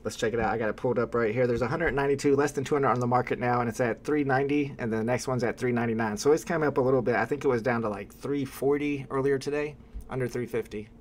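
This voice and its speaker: A man's voice: mid-range voice, fairly even intonation, quick pace, slight background noise. A young man hosting a casual livestream from a room at home, chatting with a guest and reading viewer comments aloud. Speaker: livestream host.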